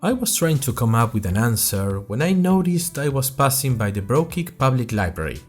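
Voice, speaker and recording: A man playing a curious young student journalist, narrating his adventures in a chatty, wry way as host of a fictional podcast. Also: medium pitch. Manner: chatty, wry, expressive storytelling. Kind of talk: scripted first-person audio drama narration in chatty podcast form.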